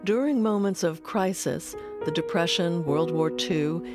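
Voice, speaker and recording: A woman's voice: fairly low pitch, a little slow. A woman reading scripted narration as the narrator of a documentary. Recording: clear music bed under voice. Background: music.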